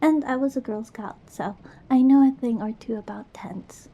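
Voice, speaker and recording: A woman playing a goofy, affectionate young girlfriend in an ASMR roleplay, talking tenderly and playfully to comfort a scared partner in a soft, breathy voice. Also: on the high side, moderate pace, lo-fi sound. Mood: cozy, caring. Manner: playful, rambling, tender, reassuring. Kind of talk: ASMR girlfriend roleplay, intimate one-sided dialogue.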